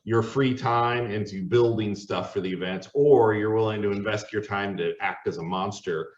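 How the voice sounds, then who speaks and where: A man's voice: lowish voice, normal speed. A man in casual chat, restating and summing up what he has heard. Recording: echoey room, distant mic, muffled sound.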